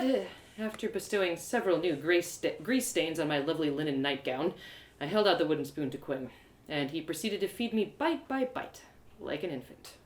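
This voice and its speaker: A woman narrating a first-person story live, in an expressive, theatrical storytelling manner. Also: deep voice, roomy sound. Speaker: storyteller, audio drama creator.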